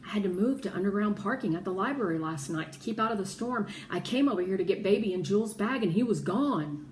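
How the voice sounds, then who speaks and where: A woman's voice: medium pitch, expressive, fast talker, lightly acted. Tough, blunt woman, read by a storyteller, echoey room. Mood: matter-of-fact. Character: tough, blunt street woman in a children's novel. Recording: roomy echo with background noise.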